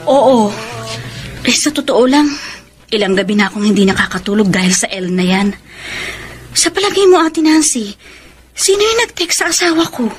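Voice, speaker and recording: An actress playing a gentle, troubled and suspicious wife in a Filipino radio drama. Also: female voice, fairly high pitch, lively intonation, normal speed, pauses often, slight background noise, close to an everyday voice. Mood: worried, resigned.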